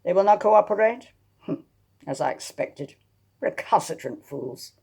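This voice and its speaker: An imperious old dowager as played by a woman reader from a historical novel. Her voice is husky and full of gravel. She barks her scorn like someone used to ruling a whole family. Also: on the low side, animated, a little slow, warm tone, full character voice. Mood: scornful, commanding. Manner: barking, domineering, contemptuous. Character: imperious, scornful elderly aristocratic matriarch. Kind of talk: dramatised historical novel reading.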